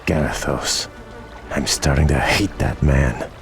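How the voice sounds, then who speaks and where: An actor playing a proud, noble elven prince, formal and restrained with simmering resentment, in a fantasy video game. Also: male voice, very deep voice, takes it slowly, heavily acted. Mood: irritated. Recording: music behind. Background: game music.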